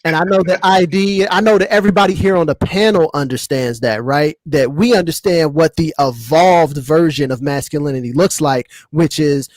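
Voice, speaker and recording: A man's voice: on the high side, moderate pace, hardly any pauses, no room sound, poor audio. An American man on a podcast panel, explaining his points carefully in a casual conversational way.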